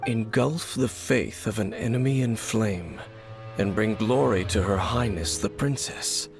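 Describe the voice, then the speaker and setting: A man playing a reserved, knowing warrior guide in a video game, speaking in a solemn, measured, formal way. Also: lowish voice, vocal fry, over music. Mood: grave, thoughtful.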